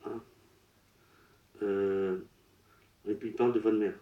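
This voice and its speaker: A man working as a medium at a public séance, relaying messages in short, broken, halting phrases. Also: on the low side, steady tone, lo-fi sound. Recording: roomy echo.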